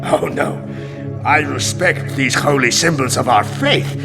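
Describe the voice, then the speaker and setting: A man acting in a radio drama as a proud, amused Sultan, speaking in a grand, deliberate manner. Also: mid-range voice, creaky, over music. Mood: stern, superior.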